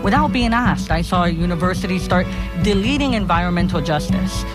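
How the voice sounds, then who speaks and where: A woman's voice: fairly low pitch, animated, brisk, over loud music. A woman from Honduras giving a conversational talk at a conference as a community organizer, often checking in with her listeners.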